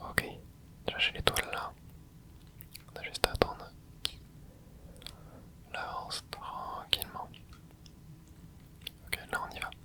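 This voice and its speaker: An ASMR gaming host whispering a running commentary while playing, muttering quiet reactions into a close microphone. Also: takes it slowly, long pauses.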